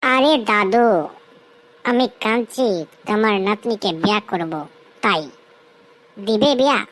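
Woman voicing a whiny, cheeky high-pitched cartoon schoolboy. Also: unhurried, fairly bright tone, rough recording. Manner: whining, cursing, colloquial rustic speech. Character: whiny cheeky mischievous schoolboy who craves a smoke. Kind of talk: comic cartoon dialogue.

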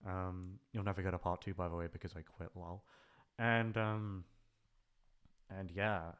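A man's voice: deep voice, steady tone, measured pace, a bit bright, smooth. A man chatting casually in a rambling, hesitant way, swearing freely as he goes. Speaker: ASMR voice actor, channel creator. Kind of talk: rambling casual farewell chat.

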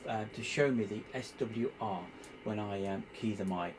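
A man giving an informal hands-on tutorial, explaining step by step in a halting, hesitant way. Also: fairly low pitch, noisy background. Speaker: presenter demonstrating radio equipment.

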